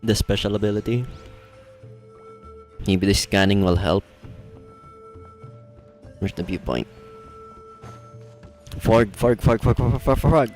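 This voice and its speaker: Deep voiced guy livestreaming a game, casual, thinking out loud, bit of music behind. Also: slow, pauses often. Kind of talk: casual playthrough commentary, thinking aloud. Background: faint game music.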